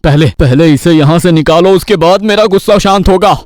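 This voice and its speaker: A man's voice: sits high, fairly quick, a little mellow, dry recording, clean studio sound, close to an everyday voice. A man voicing a short-tempered, scornful middle-aged village father who scolds and grumbles in a Hindi cartoon tale. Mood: irritated.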